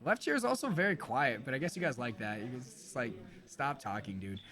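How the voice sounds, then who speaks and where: A slightly hoarse man hosting a live esports stream, commentating casually with informal, joking banter. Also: mid-range voice, animated, a little fast, music in the background.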